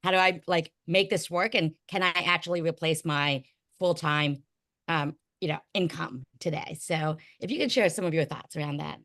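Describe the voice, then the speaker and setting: A woman moderating a conference panel, introducing speakers and asking questions in a quick, upbeat way with fillers. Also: low-pitched, fairly bright tone. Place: conference stage.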